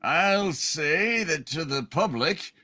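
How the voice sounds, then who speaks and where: A man voicing a cold, contemptuous old rich banker with a pompous, deliberate delivery in an amateur radio-play reading. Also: on the high side, animated, bright, forceful tone, heavily acted. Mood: scornful.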